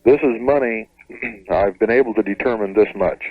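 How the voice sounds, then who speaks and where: Male radio caller. Lowish voice, halting careful explanation, narrow tinny telephone sound, no room echo. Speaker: caller to radio advice show. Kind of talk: hesitant, careful explanation over the phone.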